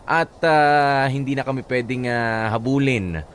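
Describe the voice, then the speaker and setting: A man with a Filipino accent hosting a casual radio advice chat in a halting, repetitive, emphatic way. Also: medium pitch. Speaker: radio DJ host. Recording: faint background noise.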